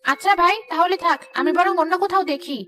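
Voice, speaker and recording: A woman's voice: extremely high pitch, fast, pushed and bright. A woman voicing a thrifty, haggling market shopper in a village moral cartoon. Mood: bargaining. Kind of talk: moral-story cartoon dialogue.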